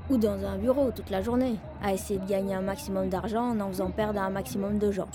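A woman plays a wry young boy in a radio fiction, talking casually and deadpan about his own life. The voice sits at a middle pitch, with music clearly behind it. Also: quick pace, close to an everyday voice. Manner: casual, deadpan, self-mocking. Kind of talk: first-person radio fiction monologue, colloquial.

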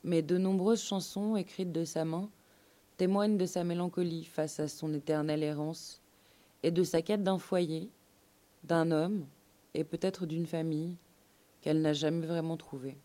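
A woman narrating a scripted radio documentary story in measured, literary phrasing. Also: low voice, fairly even intonation, dark tone.

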